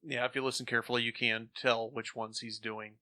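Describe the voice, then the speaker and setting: A man's voice: medium pitch, level tone, brisk, bright, forceful tone, dry recording. A man speaking casually as a podcast panelist, discussing and reviewing. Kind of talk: casual discussion of radio drama.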